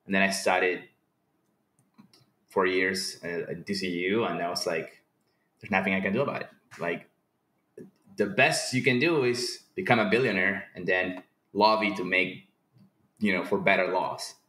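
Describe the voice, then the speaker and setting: Deep-voiced man in an echoey room. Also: steady tone, moderate pace, long pauses, sharp tone. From Peru. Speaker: podcast guest, company founder. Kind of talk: casual interview conversation. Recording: roomy, echoing sound.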